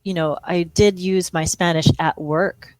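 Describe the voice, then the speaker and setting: A middle-aged woman from Hawaii talks as a guest on an interview, chatty and self-deprecating, laughing often. Her voice sits fairly low, and the recording is dry and close. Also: steady tone, measured pace, a little mellow, no room sound, low-quality recording. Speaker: speech-language pathologist guest. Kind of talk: conversational interview.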